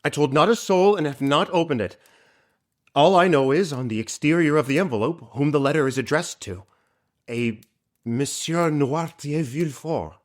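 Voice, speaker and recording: A man's voice: fairly high pitch, normal speed, natural voice. A man playing an earnest, innocent nineteen-year-old merchant sailor in an audio drama, polite and openly trusting. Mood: anxious, trusting.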